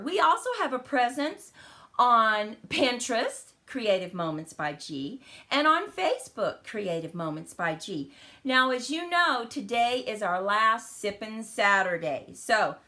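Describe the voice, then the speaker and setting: Bright-voiced cheerful woman host. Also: fairly high pitch. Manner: cheerful, chatty and welcoming. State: slightly crackly voice after the flu. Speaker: host of a card-making channel. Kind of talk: live stream chat and crafting demo.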